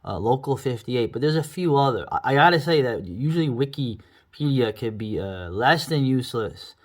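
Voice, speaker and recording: A man's voice: medium pitch, lively intonation, normal speed. A middle-aged man giving casual, rambling, enthusiastic commentary as the host of a video review.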